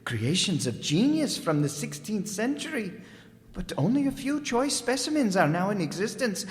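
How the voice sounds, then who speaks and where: An actor playing a thin, impeccably mannered rug dealer, formal and fawning, in a radio detective drama. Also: male voice, high-pitched, sing-song, moderate pace. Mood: eager, fawning. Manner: unctuous, formal, deferential. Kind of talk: old-time radio detective drama acting. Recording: reverberant hall recording.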